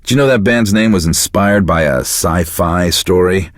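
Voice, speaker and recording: An actor playing a depressed, divorced middle-aged radio DJ in a game, deadpan, sardonic and self-deprecating as he hosts. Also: low voice, moderate pace, dry recording, clean studio sound, close to an everyday voice. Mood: self-pitying, dry. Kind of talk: radio show hosting, comic self-pitying banter.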